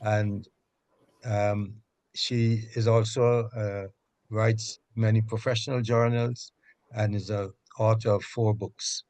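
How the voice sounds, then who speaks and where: A man chairing a political meeting, speaking off the cuff in a halting, measured way. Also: on the low side, little pitch movement, a little mellow. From Guyana. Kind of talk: extemporaneous political meeting remarks.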